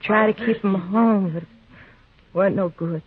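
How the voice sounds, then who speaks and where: A woman's voice: mid-range voice, normal speed, natural voice. A young frontier woman, played by an actress, sorrowful and resigned, with rustic American speech. It sounds like 1950s radio drama, on a very thin, phone-like old recording.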